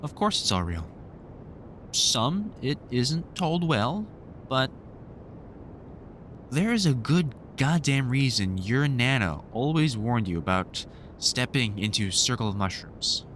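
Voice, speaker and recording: A man acts out an older male vampire hunter in a conversational audio drama. His mid-range voice rises and falls freely as he reassures and cautions like a protective, wry mentor who swears casually. A faint low rumble sits behind the voice. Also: big pitch swings, slow pace, fairly bright tone. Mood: earnest warning. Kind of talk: one-sided audio roleplay, conversational comfort scene.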